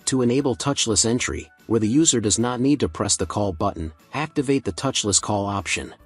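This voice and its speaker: Low, slightly husky male tutorial narrator. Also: a little fast, faint music behind. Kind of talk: scripted instructional narration.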